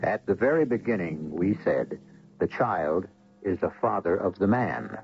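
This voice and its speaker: A man hosting a radio mystery drama, narrating from a script in a portentous, deliberately phrased style. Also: deep voice, big pitch swings, creaky. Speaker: host and narrator. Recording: dull old radio recording, music behind. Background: music.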